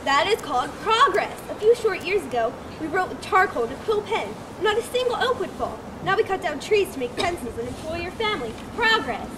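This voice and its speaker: A woman reading the part of a confident male philosopher and mentor in an amateur dramatic reading, brisk and teasing in debate. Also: very high-pitched, sing-song. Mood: arguing. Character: confident man, a philosopher and mentor, amused and argumentative. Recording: loud outdoor traffic noise behind. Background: traffic noise.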